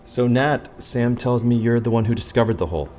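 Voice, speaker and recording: An actor playing a skeptical, uneasy paranormal investigator in an audio drama, talking in a casual, hesitant, wry way. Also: male voice, medium pitch, brisk, warm tone, soft background music, close to an everyday voice. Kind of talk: scripted podcast drama acting.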